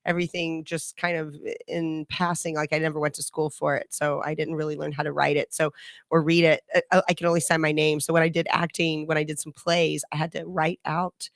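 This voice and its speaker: A woman hosting a relaxed radio chat. Her voice sits slightly low, and she talks loosely and freely, often doubling back on her words and breaking into laughter. The sound is close and dry, with no room around it. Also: a little fast, no room sound. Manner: chatty, laughs often, many restarts. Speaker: radio show host. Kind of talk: casual chat.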